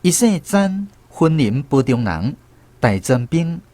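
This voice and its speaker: An actor playing a former army conscript who narrates his memories in a radio drama, with a Taiwanese accent and an expressive storytelling manner. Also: a little high, slow. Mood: reflective storytelling.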